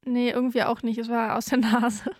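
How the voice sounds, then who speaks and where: A woman's voice: a little high, flat delivery, fairly quick, a little mellow, no echo, studio quality. A young woman born in Peru co-hosting a casual podcast, chatting and sharing prepared facts in a self-deprecating way. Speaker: podcast co-host. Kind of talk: casual chat with prepared fact segments.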